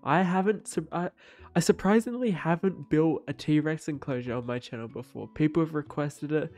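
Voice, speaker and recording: A man's voice: a little high, normal speed, a little mellow. A young man, sounding a little nasal from a cold, casually narrating his building video with lots of fillers and restarts. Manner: rambling, many fillers and restarts. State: stuffy nose from a cold. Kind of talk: casual voice-over commentary.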